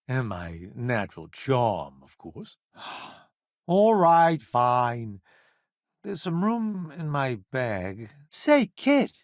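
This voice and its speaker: Smug celebrity foodie sidekick, video game, higher-pitched, wildly swooping intonation, theatrical, wisecracking. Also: male voice, dry recording, clean studio sound, heavily acted. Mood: grudging. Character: vain, chatty celebrity food columnist and space crew sidekick. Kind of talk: video game companion voice lines.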